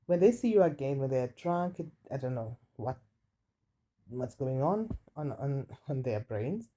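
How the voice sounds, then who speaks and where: A woman with a deep voice casually telling a personal story, chatty and confiding, often repeating words for emphasis. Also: expressive, a little slow, dark tone. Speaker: storytime vlogger. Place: indoors while rain falls outside. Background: light rain.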